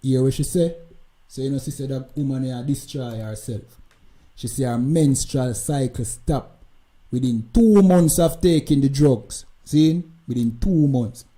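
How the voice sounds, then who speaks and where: A man's voice: mid-range voice, a little slow, mellow. A Jamaican man hosting a live stream, talking emphatically and repeating "you know what I mean" as he comments.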